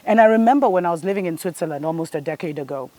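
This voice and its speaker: A woman's voice: lowish voice, animated, very fast, warm tone, dry recording. A woman, hosting a spiritual show and giving an earnest, preachy monologue that speaks to her listeners as family. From Kenya.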